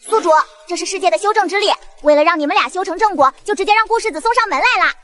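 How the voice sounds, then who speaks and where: Squeaky, chirpy matchmaking system sprite. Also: female voice, very fast, fairly bright tone. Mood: coaxing. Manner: bubbly, wheedling, gushing. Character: chirpy female matchmaking system spirit, pushy and excitable. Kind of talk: animated web drama acting.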